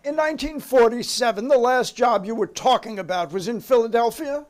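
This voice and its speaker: A man's voice: extremely high pitch, brisk. A man reading the part of a formal, persistent committee counsel who questions a witness with procedural precision. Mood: insistent. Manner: formal, procedural, insistent on exact dates. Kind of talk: dramatic reading of a hearing transcript.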